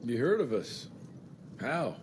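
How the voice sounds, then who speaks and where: A gruff Western monster hunter in a video game, with a mid-pitched voice. He is blunt, dry and determined, with clear ambient noise behind him. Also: very animated, slow. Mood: brusque, urgent. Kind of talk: video game cutscene acting.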